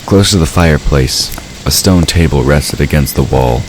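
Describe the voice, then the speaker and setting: A man's voice: extremely low pitch, normal speed. A man narrating a scripted story as the narrator of an audio drama. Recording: clear background ambient noise. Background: ambient sound effects.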